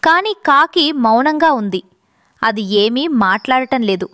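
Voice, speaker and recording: A woman's voice: high voice, lively intonation, a little slow, a bit bright, no echo, clean studio sound. A woman reading scripted narration for a children's story.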